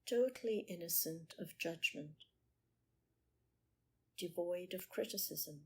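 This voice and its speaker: A woman meditation teacher leading a guided meditation in short, measured phrases with pauses between them. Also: on the low side, darkish tone, slightly rough.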